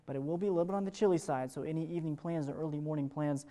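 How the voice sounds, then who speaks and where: Fast-talking American male TV weather forecaster. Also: on the high side, warm tone. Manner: chatty, upbeat broadcast delivery. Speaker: television morning show meteorologist. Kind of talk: ad-libbed weather forecast presentation.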